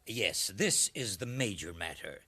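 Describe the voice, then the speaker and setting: A man's voice: sits low, lively intonation, a little slow, pushed and bright, breathy edge, exaggerated voice. A man playing an effusive, pompous foreign ambassador in a satirical radio drama, hesitating theatrically. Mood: gushing. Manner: theatrical, hesitating with fussy ehs and uhs.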